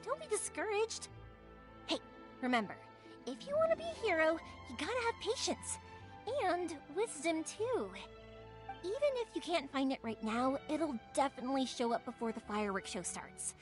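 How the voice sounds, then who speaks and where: A woman's voice: high-pitched, normal speed, soft background music. A woman playing a cheerful, encouraging young fireworks maker coaxing children in an anime video game. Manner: animated, coaxing, as if talking to children.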